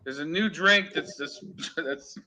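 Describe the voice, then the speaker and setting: A man's voice: on the high side, slow, bright, forceful tone, poor audio. Joking, profane man in his forties. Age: 40s. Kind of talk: casual group chat.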